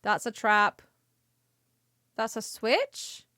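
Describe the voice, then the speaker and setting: A woman's voice: on the high side, sing-song, drawn-out words, sharp tone, no echo, clean studio sound. A woman giving casual, chatty livestream commentary on a video game, playful and given to sing-song exclamations. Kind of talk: casual gaming stream commentary. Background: faint music.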